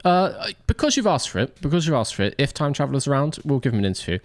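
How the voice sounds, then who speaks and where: A man's voice: mid-range voice, big pitch swings, fast, no echo. A man hosting a livestream and casually interviewing racers after an event. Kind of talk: casual post-race interview.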